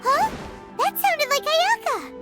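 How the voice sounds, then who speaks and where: Squeaky, excitable little fairy. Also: female voice, takes it slowly, heavily acted. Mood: curious. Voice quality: squeaky, childlike. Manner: excitable, refers to self in third person. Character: small cheerful chatty childlike female fairy companion. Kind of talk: video game character acting. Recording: music behind the voice.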